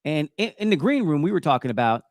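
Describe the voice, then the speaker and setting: A man's voice: fairly high pitch, big pitch swings, fast, no echo, studio quality. A man in his fifties hosting a conversational faith talk show, speaking emphatically and often repeating himself to check in. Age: 50s. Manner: emphatic, repetitive, checks in with "right?". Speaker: show host.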